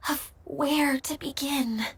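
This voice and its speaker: A woman playing a gentle, anxious young female caretaker in an anime game, hesitant, polite and apologetic. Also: sits high, steady tone, slow pace, very noisy. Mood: hesitant, downcast.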